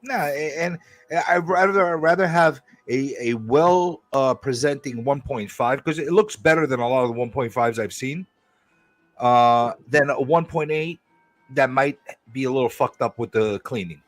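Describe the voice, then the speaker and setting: A man's voice: medium pitch, normal speed, no echo, soft background music. A man hosting a casual live unboxing stream, laid-back and jokey, swearing now and then. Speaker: channel host.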